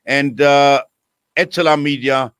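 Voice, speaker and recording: A man hosting a studio radio interview. He speaks in a measured way with frequent filler pauses. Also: medium pitch, fairly even intonation, a bit bright, clear, resonant tone. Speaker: radio host. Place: broadcast studio. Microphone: studio microphone.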